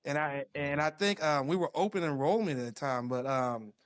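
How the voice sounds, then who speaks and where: A man in his fifties, a former football player, telling old team stories in a lively, laughing way. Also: fairly high pitch, normal speed, clear, resonant tone, no room sound, clean studio sound. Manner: animated storyteller with laughs. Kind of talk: casual reminiscing conversation.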